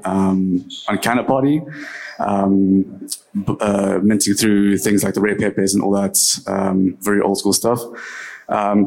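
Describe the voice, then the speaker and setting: Flat-voiced man, hesitant with many ums. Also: lowish voice, poor audio. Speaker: panelist, game studio founder. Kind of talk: panel talk. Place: event stage.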